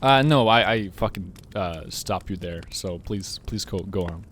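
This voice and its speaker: A man's voice: deep voice, a little slow, fairly bright tone, a little hiss or hum. A young man from Finland whose first languages are Russian and Finnish, rambling and swearing freely in casual podcast chat. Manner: rambling, repeats words, swears often. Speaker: podcast co-host.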